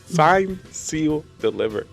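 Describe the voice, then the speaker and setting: Brief: playful guy hosting a game stream, animated pitch, quick laughs. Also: gravelly, soft background music. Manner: playful, laughs often, lively. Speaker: stream host. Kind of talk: casual gaming stream chat.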